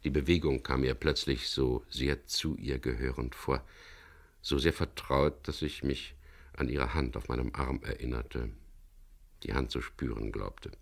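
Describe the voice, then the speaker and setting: A man's voice: a bass voice, unhurried, creaky, heavily acted. An actor playing a tough, wry boat captain who narrates his own adventure tersely in a 1970s German radio crime drama. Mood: tense, reflective.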